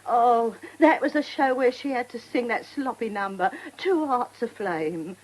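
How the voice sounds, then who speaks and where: A garrulous old theatre dresser in a radio drama. Her working-class London voice is fairly high and lively in pitch, homely and devoted, full of nostalgia. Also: measured pace, rough recording. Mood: chatty, nostalgic. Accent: working-class London English. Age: older.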